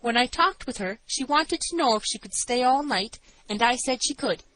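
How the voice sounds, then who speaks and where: Woman putting on trembling female assistant matron, high voice, anxious, earnest, storybook testimony. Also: lively intonation, normal speed, fairly bright tone, a little hiss or hum, rough recording.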